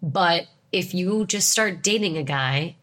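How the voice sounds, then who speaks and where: A young woman with a stuffed-up nose from a cold, talking bluntly and emphatically. Her voice sits a little low, and she sounds opinionated and sure of herself. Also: slow, fairly bright tone. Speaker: podcast co-host. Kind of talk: casual chat.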